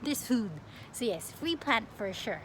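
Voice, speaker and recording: A young woman from the Philippines chatting casually as a plant vlogger, expressive and often breaking off mid-sentence. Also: a little high, unhurried. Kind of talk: casual get-to-know-me question-and-answer chat. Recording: clearly noisy home recording.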